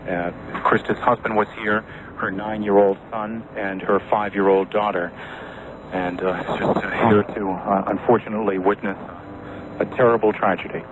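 A man giving live on-air commentary, halting and repeating words, through a noisy shortwave recording. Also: on the low side, level tone. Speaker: correspondent. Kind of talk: live breaking news commentary.

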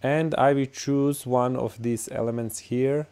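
A man with a Bosnian accent, whose native tongue is Bosnian, walks through a software tutorial in English, pausing often with "uh" and "all right". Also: medium pitch, steady tone, clear, resonant tone. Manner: halting, many "uh" and "all right" fillers. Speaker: tutorial host. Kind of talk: screen-recorded software tutorial.

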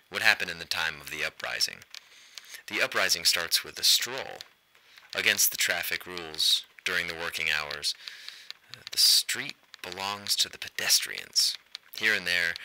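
A man's voice: sits low, sharp tone, exaggerated voice, low-quality recording. An actor playing a grim, self-loathing, disillusioned man, giving an intense, declamatory monologue in a radio play.

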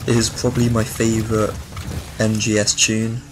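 A man with a fairly low voice talks through a video game in a casual guide style. He is recorded with audible noise and game ambience behind him. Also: monotone, moderate pace. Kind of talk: casual walkthrough commentary over gameplay.

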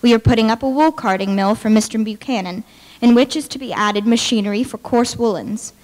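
A young adult woman giving a formal, deliberate reading of historical documents. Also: fairly high pitch, darkish tone. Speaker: dramatic reader. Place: large brick-walled hall with stage, indoors. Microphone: podium microphone. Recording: reverberant large hall.

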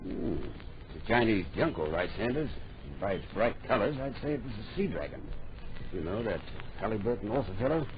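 An actor playing an unhurried, amused ship's captain in a radio drama, with musing, easygoing authority. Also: male voice, on the low side, sing-song. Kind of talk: old radio drama acting. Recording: dull old radio recording, faint noise.

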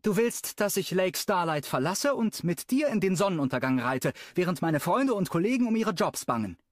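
A fiery, stubborn young woman, performed by a man reading a romance audiobook speaks in a lifted, bright register with big swings in pitch. She is sharp-tongued. The recording is dry and close, with no room sound. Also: big pitch swings, normal speed, no room sound. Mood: angry.

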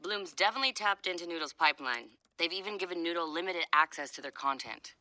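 A woman's voice: on the low side, lively intonation, fast, sharp tone. A woman playing a no-nonsense hacker in a video game, serious, blunt and resolute. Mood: wary. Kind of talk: video game cutscene acting.

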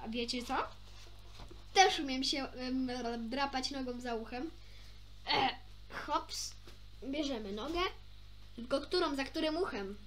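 A woman's voice: sits high, a little hiss or hum. A young woman reading a children's story aloud expressively, informally, with small stumbles and chatty asides. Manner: informal, stumbles over words and self-corrects. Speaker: amateur storybook reader.

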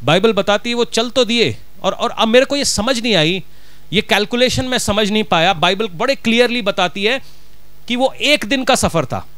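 A man's voice: high-pitched, animated, moderate pace, bright, forceful tone, clean tone. A middle-aged man with a Punjabi accent, a pastor preaching a sermon from a church stage with a handheld mic, driving his points home with rhetorical questions. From Punjab, India.

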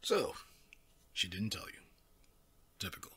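A man's voice: measured pace, a bit bright, close to an everyday voice. A man playing a taunting, smug werewolf rival in an ASMR audio drama, with a theatrical, mocking drawl. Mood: gloating. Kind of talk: ASMR audio roleplay acting.

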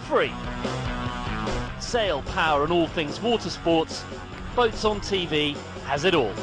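A man reading a promotional ad voice-over in a slow, emphatic way. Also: high-pitched. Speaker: ad announcer. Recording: ad voice-over over music.

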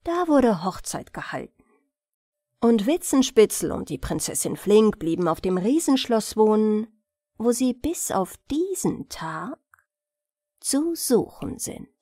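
A woman reading fairy tales as an expressive bedtime audiobook narrator. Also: medium pitch, slow, no room sound.

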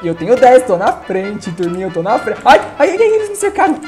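A young man from Brazil, giving excitable, chatty gameplay commentary on a headset from his home gaming room, sounding congested from a cold. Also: high voice, fast, faint music behind. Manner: excitable, chatty, addresses viewers. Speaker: gaming YouTuber.

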